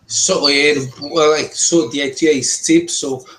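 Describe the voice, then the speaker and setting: Higher-voiced man, casual interviewer. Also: fairly even intonation, a little slow, fairly bright tone.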